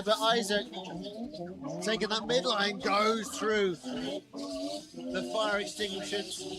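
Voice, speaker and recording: Excitable high-voiced male drift commentator. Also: rough recording. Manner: excitable, jokey asides between calls. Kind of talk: live motorsport commentary.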